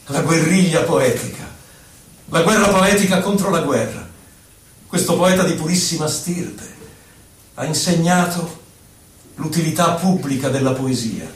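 Man acting a slow, solemn poet in echoing space. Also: fairly high pitch, lightly acted. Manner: declamatory, solemn, measured. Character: solemn, impassioned poet bearing witness to war dead. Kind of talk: theatrical poetry recitation. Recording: very echoey, distant, music accompaniment.

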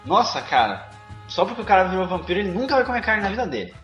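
A man's voice: sits high, big pitch swings, fast talker, lo-fi sound. A man with a Brazilian accent in casual, emphatic, bantering podcast chat. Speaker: podcast co-host. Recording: echoey room. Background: faint music.

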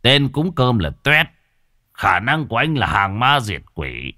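A man voicing a boastful, scruffy aging male sorcerer who curses and mutters gruffly. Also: medium pitch, big pitch swings, measured pace, pushed and bright, no room sound, studio quality, voice pushed up.

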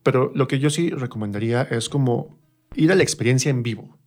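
A middle-aged man with a Mexican accent chatting casually as an interview guest, animated and colloquial, acting out imagined remarks. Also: mid-range voice, brisk, faint music behind. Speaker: guest, stage performer.

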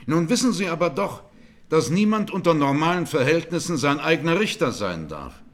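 An actor playing a composed presiding judge, putting formal, probing questions in a radio courtroom drama. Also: male voice, a little high, big pitch swings, normal speed, fairly bright tone.

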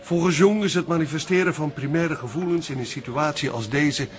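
An actor playing a pedantic, wordy know-it-all intellectual in a radio drama. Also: male voice, a little high, a little fast, close to an everyday voice. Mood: lecturing.

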